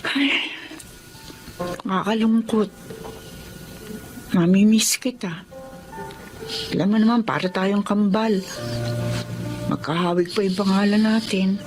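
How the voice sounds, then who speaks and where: An actress playing a caring, loyal best friend in an emotional drama, tender and reassuring. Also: female voice, medium pitch, steady tone, takes it slowly, pauses often, close to an everyday voice. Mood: sad, affectionate. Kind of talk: emotional Filipino drama acting. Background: music.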